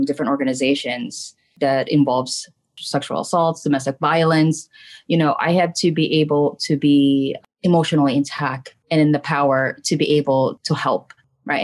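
Low-pitched middle-aged woman, candid storyteller. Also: a little mellow. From Philippines. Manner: candid, emphatic, often checks in with "right" and "you know". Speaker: podcast guest, trauma coach and spiritual medium. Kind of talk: casual personal storytelling in an interview.

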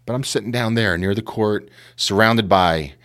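A man from Queens, telling a casual, animated story as a guest and acting out the voices of the people in it. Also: fairly low pitch, moderate pace. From Queens, New York. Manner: animated, acts out voices, lots of "you know".

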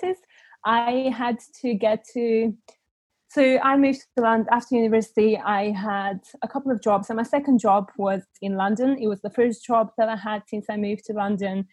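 A woman's voice: on the high side, a little mellow, husky, no echo. A young woman with a Bulgarian accent, speaking as a podcast guest and reflecting candidly in a conversational interview. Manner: reflective, thinks aloud with frequent restarts.

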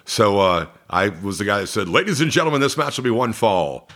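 A man's voice: fairly low pitch, very animated, fairly quick, a bit bright. A man in his fifties from Georgia with an American accent, chatting casually as an interview guest who announces sports. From Columbus, Georgia, United States. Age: 50s.

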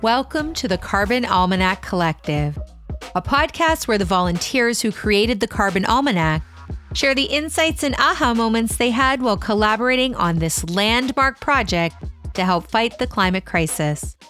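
A woman's voice: on the high side, expressive, normal speed, a bit bright, faint music behind. A woman hosting a podcast, reading a scripted intro and then asking friendly questions. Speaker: podcast host. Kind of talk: podcast interview.

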